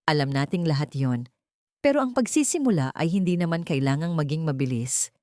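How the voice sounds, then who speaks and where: A woman voicing a caring, understanding housemaid in an audiobook drama, calm, measured and gently firm. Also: low voice, no echo, studio quality. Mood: calmly firm.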